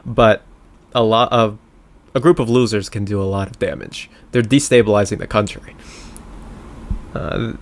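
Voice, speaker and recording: A man with a slightly low voice co-hosts a political talk show. He gives informal, conversational commentary and often starts his sentences hesitantly. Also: moderate pace, pauses often.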